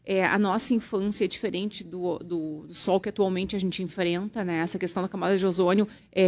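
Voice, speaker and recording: A woman dermatologist from southern Brazil, guesting on a radio show and calmly explaining skin care. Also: medium pitch. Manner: calm, explanatory. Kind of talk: radio interview. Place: radio studio.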